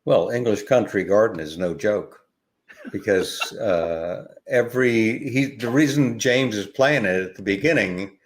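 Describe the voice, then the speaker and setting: Low-voiced older man, halting chat. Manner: rambling, halting with many fillers and restarts. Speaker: podcast guest, ukulele educator. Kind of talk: relaxed conversational interview.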